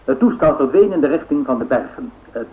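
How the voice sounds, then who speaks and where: Actor as tinny radio newsreader, very noisy. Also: male voice, a little high, lively intonation, close to an everyday voice. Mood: grave, formal. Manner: formal, measured. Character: formal radio newsreader giving grave bulletins. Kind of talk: scripted news bulletin in radio drama.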